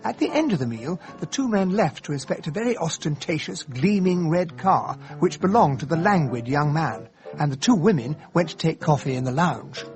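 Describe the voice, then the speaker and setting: An actor playing a genial, naive English gentleman in a radio drama, earnest and polite, with an upper-class English accent, both in dialogue and narration. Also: a little high, animated, normal speed, few pauses, soft background music. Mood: reflective narration. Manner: earnest, polite, slightly hesitant.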